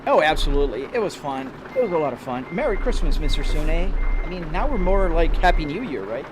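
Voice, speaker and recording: Brazilian male streamer, native Portuguese speaker, easygoing chat to chat viewers, mid-high voice, noisy background. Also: sing-song, a little fast. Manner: chatty, greets viewers by name, laughs easily. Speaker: live streamer. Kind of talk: casual live stream chat while driving a sim. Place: home sim rig.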